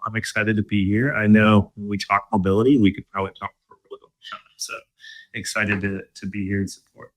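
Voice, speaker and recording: A man from Michigan speaking as a guest in a casual interview, relaxed and thoughtful, with many fillers and restarts. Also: fairly low pitch, fast talker, rough recording. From Michigan, USA. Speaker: guest, corporate mobility director.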